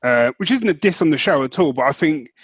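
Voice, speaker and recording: A man co-hosting a podcast, chatting casually in an animated, slangy way. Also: fairly high pitch, fast, slightly rough, dry recording, studio quality. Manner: animated, informal, slangy, swears freely. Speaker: podcast co-host. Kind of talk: casual chat.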